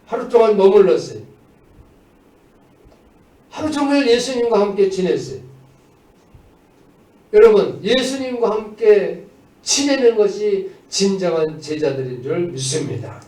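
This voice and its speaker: A male pastor delivers a church sermon in a fairly high voice. He exhorts the congregation, moving between firm proclamation and plain conversational asides. The sound is echoey and picked up a little away from the microphone. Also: animated, measured pace, long pauses, mellow. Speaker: pastor preaching. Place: indoors at a church service. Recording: echoey church room, distant mic.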